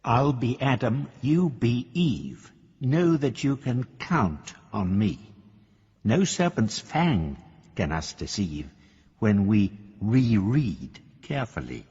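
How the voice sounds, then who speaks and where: Bashful, rambling English gentleman for radio drama; lowish, very husky voice with expressive swings. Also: takes it slowly, dry recording, highs cut off, heavily acted. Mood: playfully reciting. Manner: formal, bashful, gently whimsical. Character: courteous, shy, rambling English gentleman of independent means. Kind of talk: radio drama monologue, reading a letter.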